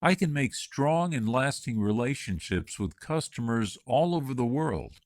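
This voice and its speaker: Expressive man reading interview answers. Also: mid-range voice, a little slow, no echo, clean studio sound. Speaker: job candidate in a practice interview. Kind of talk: scripted dialogue for English learners.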